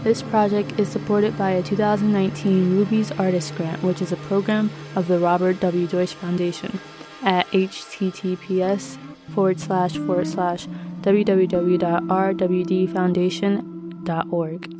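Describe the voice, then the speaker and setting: A woman's voice: fairly low pitch, level tone, a little slow. A woman podcast creator reading scripted end credits. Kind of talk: scripted narration. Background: loud plucked guitar theme music.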